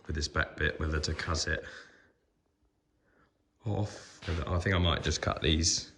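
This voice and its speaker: Man, croaky with a cold, very low gravelly voice, relaxed rambling with ums, handheld camera in a workshop. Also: measured pace, a little hiss or hum. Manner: relaxed, many ums, trailing off. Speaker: vlogger restoring a truck. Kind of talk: casual workshop walkaround.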